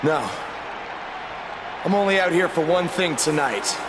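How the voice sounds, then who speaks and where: A man playing a defiant underdog wrestling champion giving a challenging promo in a video game, amid a loud arena. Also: a little high, animated. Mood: grim resolve. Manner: deliberate, challenging. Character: defiant underdog male pro wrestling champion seeking revenge. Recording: loud arena crowd and noise.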